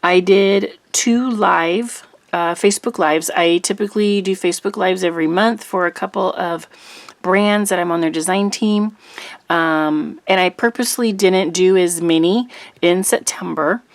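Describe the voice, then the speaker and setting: A middle-aged woman chats casually and rambles a bit, with frequent ums. Her voice sits a little low and sounds slightly rough from allergies. The sound is dry and close, with no room echo. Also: a little slow. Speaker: crafting channel host. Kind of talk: casual chat to camera.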